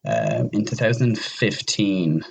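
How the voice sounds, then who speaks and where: Male podcast panelist, deep and slightly rough voice, casual, emphatic and opinionated. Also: sing-song, slow pace, rough recording. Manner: rambling, emphatic, opinionated, mild swearing. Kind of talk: casual discussion.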